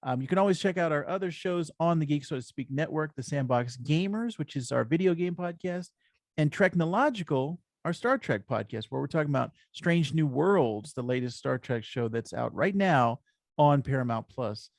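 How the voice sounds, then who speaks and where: A man's voice: a little high, animated, moderate pace, gravelly, no room sound, clean studio sound. A man hosting a casual comics podcast with an upbeat, encouraging way of talking. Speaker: podcast host.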